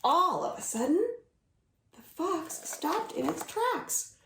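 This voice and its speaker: A young woman leading a children's storytime from home, reading stories and singing rhymes in a lively sing-song way. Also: extremely high pitch, slow pace, creaky, reverberant, slight background noise. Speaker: children's librarian.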